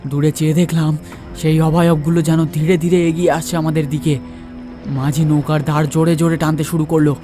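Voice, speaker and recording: A man's voice: on the high side, level tone, a little fast, dark tone. A man narrating a scripted ghost story dramatically, as the host of a story channel. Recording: music under the voice.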